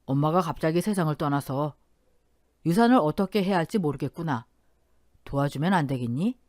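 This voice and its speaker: A woman's voice: low-pitched, lively intonation, brisk, a little mellow, dry recording, studio quality, voice pushed down. A woman voicing a grieving older father who speaks in a subdued, apologetic way. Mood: remorseful.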